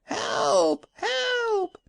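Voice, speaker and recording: A reader voicing a panicked village husband who shouts wildly for help. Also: extremely high pitch, extremely slow, creaky, voice pushed up, exaggerated voice.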